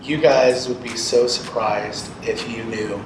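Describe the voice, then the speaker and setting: A man's voice: mid-range voice, steady tone, slow pace, noisy background. A man speaking as a guest radio programmer on a panel, giving advice to artists and repeating key points for emphasis. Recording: loud music behind, roomy sound.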